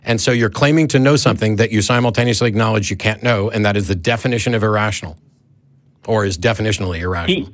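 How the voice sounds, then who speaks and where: A man's voice: on the low side, fast talker, dry recording. Blunt, argumentative man hosting a call-in debate. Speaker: call-in show host. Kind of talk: live debate with a caller.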